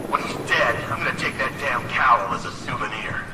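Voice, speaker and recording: An actor playing an aggressive, bloodthirsty soldier with a boastful, threatening swagger in an audio drama. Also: male voice, medium pitch, fairly quick, lightly acted. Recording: roomy, distant, very noisy.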